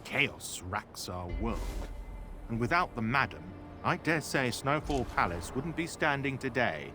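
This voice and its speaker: Florid, sly money-keeper for a video game: very animated pitch, unhurried, music behind. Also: male voice. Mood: theatrical, wistful. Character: effusive, theatrical, self-humbling male treasurer. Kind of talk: game cutscene acting.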